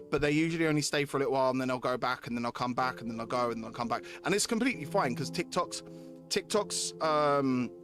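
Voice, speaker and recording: A man live-streaming a game, chatting casually with viewers, repeating himself and reading story text aloud. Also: medium pitch. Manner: repeats phrases while addressing chatters.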